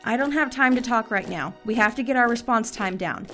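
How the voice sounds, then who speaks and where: Audio drama: stubborn combat trainer, female actor. Slightly high, brisk, blunt, wisecracking, driven. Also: bright, forceful tone, faint music behind. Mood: tense, sardonic.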